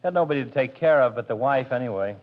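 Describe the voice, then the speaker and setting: A man voicing a soft-hearted working-class barber who rambles on in plain, ungrammatical talk. The sound is a muffled old radio broadcast. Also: medium pitch, lively intonation, fast talker, lightly acted. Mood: folksy. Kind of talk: 1940s radio comedy acting.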